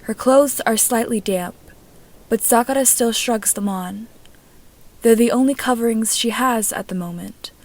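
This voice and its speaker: A woman's voice: mid-range voice, a little mellow. A woman reading a scripted story aloud as an amateur narrator.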